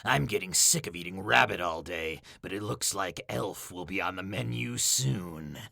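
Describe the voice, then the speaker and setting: A man voicing a menacing, hungry cannibal warlock in a fantasy audiobook, with a drawn-out gravelly growl and sadistic, savoring taunts. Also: low-pitched, sharp tone, dry recording, clean studio sound, heavily acted. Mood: gloating, hungry.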